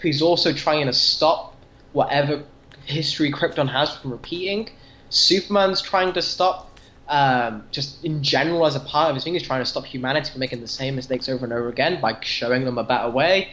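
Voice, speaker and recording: A man's voice: medium pitch, fairly quick, fairly bright tone. A man co-hosting a podcast, casually discussing comic books in a tentative, self-deprecating way. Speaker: podcast co-host. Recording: dull lo-fi audio.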